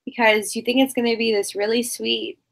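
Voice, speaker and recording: An easygoing woman author takes part as a guest in a casual book chat over a video call. Her voice is fairly high, and she keeps her replies short and relaxed, often just agreeing. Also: brisk, creaky.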